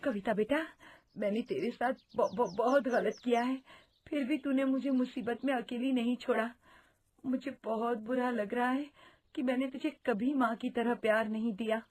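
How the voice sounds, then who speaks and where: Dramatic stepmom from a kids cartoon, woman acting, kinda high voice. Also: normal speed, darkish tone, no room sound, natural voice. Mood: remorseful. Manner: dramatic, stammering. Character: spiteful stepmother, cowardly, later remorseful. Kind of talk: children's cartoon story acting.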